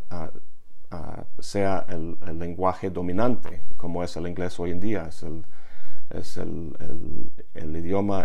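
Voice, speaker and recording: Low, gravelly male vlogger, English his first language, slow halting reflections full of fillers. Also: a little mellow. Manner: halting, many pauses and fillers. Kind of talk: reflective monologue.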